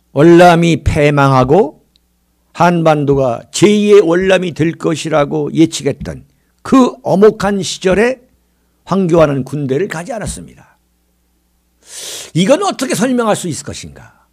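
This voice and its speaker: A man in his sixties hosts a political commentary monologue. His voice sits high and swings widely in pitch. He speaks like an orator, leaning on dramatic emphasis and posing rhetorical questions. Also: darkish tone. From South Korea. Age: 60s. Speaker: political commentary show host.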